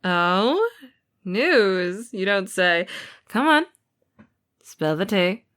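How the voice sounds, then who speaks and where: A woman's voice: medium pitch, lively intonation, extremely slow, a bit bright, smooth. A woman acting as a teasing, easygoing older sister in an audio roleplay, with playful, exaggerated reactions.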